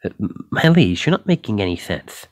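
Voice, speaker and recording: Guy playing a nervous young knight for asmr roleplay, kinda low voice, stutters and second guesses himself, dry close sound. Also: big pitch swings, moderate pace, no echo. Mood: flustered, apologetic. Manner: stammering, hesitant, apologetic. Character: shy, flustered, self-doubting young male knight.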